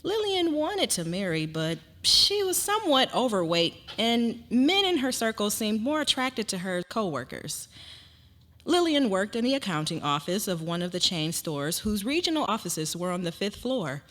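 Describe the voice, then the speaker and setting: A woman's voice: medium pitch, a bit bright. A woman narrating a story aloud in a dramatic reading.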